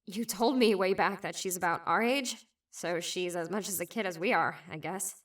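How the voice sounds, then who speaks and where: A woman's voice: fairly low pitch, normal speed, far from the mic, lightly acted. A woman voicing a brash young woman who is sarcastic, blunt and teasing. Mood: wry. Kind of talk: fan animation voice acting.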